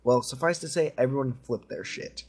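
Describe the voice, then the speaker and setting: A man's voice: medium pitch, a little fast, husky. A man reading a creepy story aloud to friends in an informal way. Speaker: story reader.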